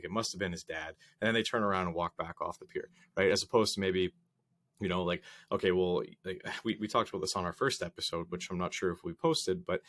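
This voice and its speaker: A man co-hosting a casual podcast, his voice slightly raspy from a cold, telling stories and joking. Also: sits low, a bit bright, no room sound. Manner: joking, storytelling with analogies. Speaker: podcast co-host.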